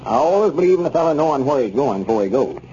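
An actor playing a folksy, gullible, self-important country storekeeper with a rural Southern American accent, rambling and muddling homespun sayings in a 1930s radio comedy. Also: male voice, fairly high pitch, very animated, rapid-fire, mellow. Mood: self-assured. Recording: muffled 1930s radio recording, faint noise.

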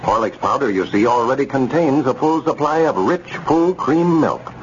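Old time radio announcer guy reading an ad, medium pitch, animated, slightly noisy old recording. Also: normal speed, highs cut off. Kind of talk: 1930s radio advertising and scripted narration.

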